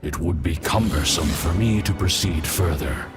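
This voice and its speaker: A man playing a reserved, formal guide in a video game cutscene, calm and cautioning in a restrained, deliberate way. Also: low voice, close to an everyday voice. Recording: music behind voice.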